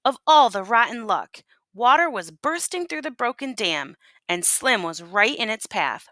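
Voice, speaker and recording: A woman reading a picture book aloud as a teacher would, in an expressive, folksy storytelling manner. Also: on the high side, unhurried, no room sound. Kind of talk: animated picture-book read-aloud to listeners.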